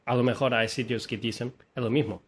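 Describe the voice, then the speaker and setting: A man at an ordinary middle pitch talks in a relaxed, unhurried way. He explains with examples and fills gaps with "eh". There is a faint hum of room ambience behind him. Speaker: host. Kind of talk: casual conversation about learning languages.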